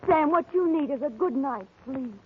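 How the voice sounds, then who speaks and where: High-voiced, plaintive woman, old radio. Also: expressive, moderate pace. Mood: fussing, reproachful. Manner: earnest, plaintive. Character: fussy, solicitous young female secretary or distraught woman neighbour. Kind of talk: old-time radio drama acting. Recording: tinny, noisy old-time radio recording.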